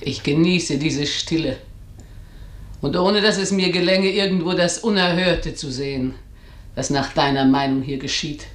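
A woman's voice: deep voice, a little slow. An actress playing a proud, domineering elderly mother and mistress of the house in a radio drama, speaking imperiously. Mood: proud, assured. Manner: imperious, commanding. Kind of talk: German radio drama acting. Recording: roomy, echoing radio studio sound.

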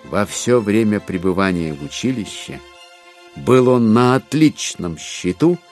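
A man narrating classic literature for an audio drama, expressively, with measured phrasing and dramatic pauses. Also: fairly low pitch, expressive, darkish tone, faint music behind.

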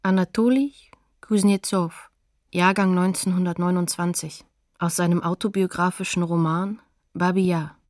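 A woman reading scripted source credits as announcer in a documentary radio play, factual and measured. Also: fairly low pitch, fairly even intonation, darkish tone, dry recording, studio quality.

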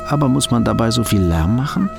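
Anxious brooding businessman, inner monologue, radio drama, gravelly, music behind. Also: medium pitch, expressive, fairly quick. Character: anxious, brooding hunted businessman, thinking to himself.

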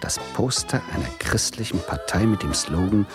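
A man's voice: lowish voice, expressive, normal speed, music in the background. A man acting a meek, admiring novice investor who narrates a 1970s radio play in a modest, reflective manner. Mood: admiring, wry. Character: meek, admiring former socialist turned naive small investor.

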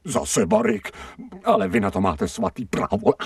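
A man's voice: on the high side, huge pitch swings, vocal fry, recorded from a distance, noisy background, heavily acted. An actor playing a stray dog with human thoughts in a radio drama, servile to his master and slangy and cynical in his asides. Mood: pleading, fawning. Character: fawning, streetwise, sardonic stray male dog with human thoughts.

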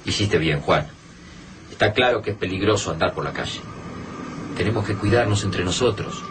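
An actor playing a hard-headed, pragmatic survivor in a radio drama, insistent, with an Argentine accent. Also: male voice, low voice, lively intonation, normal speed. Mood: sober. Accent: Argentine, Rioplatense. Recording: radio drama with noisy background.

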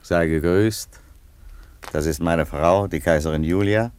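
An actor playing a wry, composed Roman emperor in a radio play, speaking with dry irony. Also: male voice, low voice, a little slow, darkish tone, dry recording. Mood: polite, ironic. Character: wry, unhurried Roman emperor, husband and father. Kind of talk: German radio play acting.

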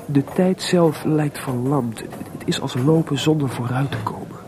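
An actor playing a melancholy, restless composer in a Dutch radio play, hesitant and searching for words, with theatrical delivery. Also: male voice, medium pitch, moderate pace, background noise, lightly acted. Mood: despondent, searching. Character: Mozart, restless, melancholy composer, proud but adrift.

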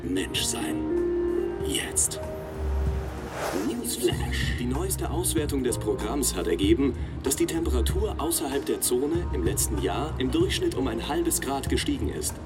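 Radio play: male actor as a distant, animated newsreader over loud music. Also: medium pitch, a little slow. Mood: solemn. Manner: announcer delivery. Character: solemn male news and charity announcer. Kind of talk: in-world broadcast.

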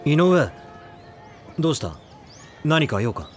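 An actor playing a stoic, blunt high-school boy in an audio drama, terse and calm. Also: slow pace, background noise. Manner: terse, calm, formal-sounding. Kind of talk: Japanese audio drama acting.

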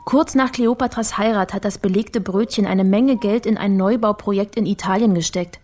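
A shrewd woman researcher, played by an actress in a radio crime drama, with a medium-pitched voice. She explains things coolly and confidently. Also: normal speed, darkish tone, faint music behind, lightly acted. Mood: cool, explanatory. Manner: matter-of-fact, confident.

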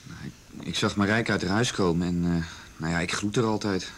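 An actor playing an earnest young son in a radio drama, telling what he witnessed in a halting, sincere, plain-spoken way. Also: low voice, steady tone, slight background noise, natural voice. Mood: uneasy, earnest. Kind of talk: naturalistic radio drama dialogue.

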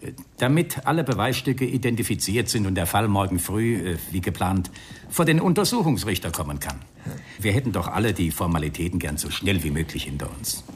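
A low-pitched, composed male police inspector in a radio crime drama. He probes politely and doggedly, with lively rises and falls in his voice. Some noise sits behind the recording. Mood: polite, probing. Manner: polite, measured, probing. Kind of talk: 1990 German radio crime drama acting. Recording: radio play with clear background noise.

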